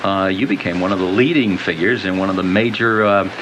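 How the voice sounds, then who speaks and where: A man's voice: sits low, fairly even intonation, brisk, muffled recording. A man hosting a radio interview in a conversational way, with hesitant, roundabout questions. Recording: noisy home cassette of radio broadcast.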